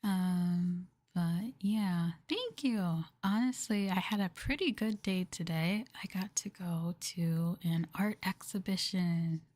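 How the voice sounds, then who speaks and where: A woman speaks softly and slowly in a gentle ASMR style, close to the mic. Her voice sits a little low and can drift toward a whisper. The sound is dry, as on a livestream made for quiet listening. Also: dry recording. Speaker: VTuber streamer. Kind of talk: ASMR soft talking livestream. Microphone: close microphone.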